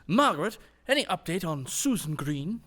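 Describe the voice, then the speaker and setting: An actor playing a jovial, unflappable British news presenter in a comedy radio drama, chipper with old-fashioned broadcaster phrasing. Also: male voice, sits high, big pitch swings, normal speed, sharp tone, full character voice. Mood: cordial.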